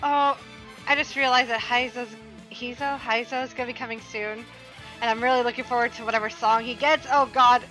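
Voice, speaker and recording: A woman's voice: on the high side, fairly quick, faint music behind, poor audio. A young woman chatting casually and expressively to herself as she streams a video game. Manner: expressive, self-talking, playful. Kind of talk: casual live gameplay commentary.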